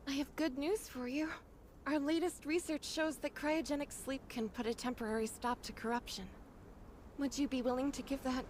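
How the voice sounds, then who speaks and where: Woman acting a high-voiced gentle anime healer. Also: steady tone, moderate pace, a little hiss or hum, lightly acted. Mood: hopeful. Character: gentle, earnest, caring young woman healer. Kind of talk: anime-style game dub acting.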